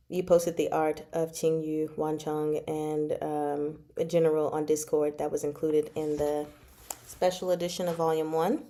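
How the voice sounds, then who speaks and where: A woman chatting casually as a book club host, recapping a novel with gushing, slangy asides and drawn-out emphasis. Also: low-pitched, warm tone.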